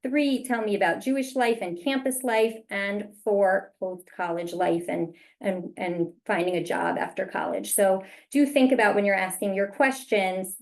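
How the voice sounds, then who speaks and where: A woman's voice: mid-range voice, steady tone, unhurried, gravelly, few pauses, a little mellow. A woman hosting a virtual panel as moderator, introducing topics and passing questions along over a video call.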